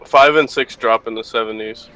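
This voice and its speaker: A man's voice: medium pitch, normal speed, a crowd in the background, rough recording. A man talking casually with friends while playing an online game. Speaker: co-player. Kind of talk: casual gaming chat.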